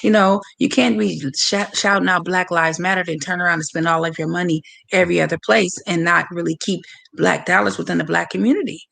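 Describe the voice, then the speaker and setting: A woman from San Francisco with a hoarse voice, a boxer speaking candidly and passionately as a guest on a livestreamed interview over a video call. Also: fairly low pitch, steady tone, a little fast, fairly bright tone. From San Francisco, USA. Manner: candid, passionate, talks straight to viewers.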